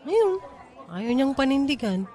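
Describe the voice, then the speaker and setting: A woman's voice: sits high, sing-song, a little slow, mellow, some chatter behind. A woman playing a troubled, defiant, pregnant young woman with an emotional, pleading manner in a Tagalog radio drama, with a Filipino accent. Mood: downcast. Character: troubled pregnant nineteen-year-old woman, defiant and wounded.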